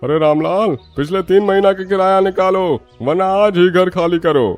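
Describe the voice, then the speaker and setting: A man voicing an angry, heartless village landlord in a cartoon, scolding and threatening loudly. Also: sits high, normal speed, no room sound, faint music behind, lightly acted. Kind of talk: Hindi cartoon story dub.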